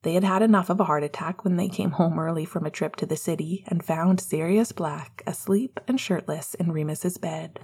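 A woman reading romantic fiction as an amateur narrator, in a soft, intimate, breathy way. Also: on the low side, normal speed, few pauses. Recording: faint music behind voice. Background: soft music.

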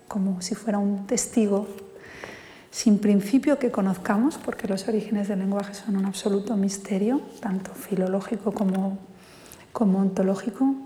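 Spanish woman poet, lecture delivery, warm, mellow tone, reverberant hall. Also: mid-range voice. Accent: Spain Spanish. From Spain. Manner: thoughtful, measured, lingers on words. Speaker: poet giving a talk. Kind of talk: reflective lecture with poetry reading.

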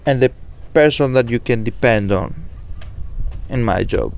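Greek-accented man, gravelly, hesitant. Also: medium pitch, measured pace, a little mellow, a little hiss or hum. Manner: hesitant, thinking aloud with many fillers. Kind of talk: casual chat.

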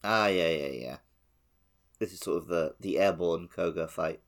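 A man's voice: deep voice, unhurried. A man co-hosting a casual gaming podcast, giving short, dry, teasing replies. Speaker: podcast co-host. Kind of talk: casual chat about a video game.

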